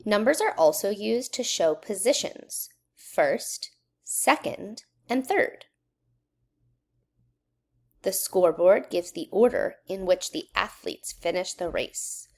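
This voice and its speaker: A woman reading a children's educational book aloud in a measured, instructional way, putting questions to young listeners. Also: medium pitch, animated, creaky. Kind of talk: children's read-aloud narration.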